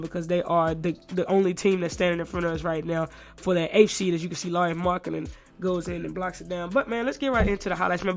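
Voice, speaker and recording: A congested young man, under the weather, talking through basketball game highlights. He speaks quickly in a fairly high voice. He is loose and casual and says "man" at nearly every pause. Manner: fast, loose talk, says "man" constantly. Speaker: gaming YouTuber.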